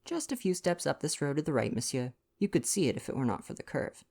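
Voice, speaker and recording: A man plays a storybook villager who is glad to help. He talks politely and plainly, with animated intonation and a touch of gravelly creak. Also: fairly high pitch, quick pace, full character voice. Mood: helpful. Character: helpful male local giving directions in a storybook.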